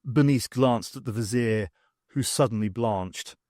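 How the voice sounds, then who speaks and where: A man's voice: mid-range voice, unhurried, a little mellow, no echo, clean studio sound. A man reading a scripted story aloud as an audiobook narrator. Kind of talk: scripted narration of a fan audiobook, acting out dialogue.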